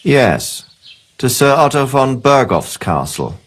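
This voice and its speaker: Composed, commanding nobleman, lively intonation. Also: medium pitch, slow. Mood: businesslike. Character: composed, authoritative middle-aged nobleman giving orders. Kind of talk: video game voice acting.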